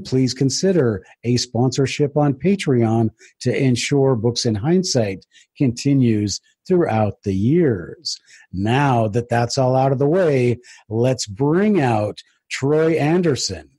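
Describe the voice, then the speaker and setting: A man hosting a podcast in a conversational way, with a somewhat halting delivery. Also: medium pitch, lively intonation, warm tone, husky, dry recording. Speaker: podcast host.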